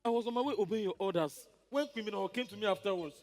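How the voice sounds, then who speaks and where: A man's voice: high-pitched, fast talker, a little mellow. A man acting an anxious guard pleading with a superior in a stage drama. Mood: defensive. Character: anxious underling guard defending himself to a superior.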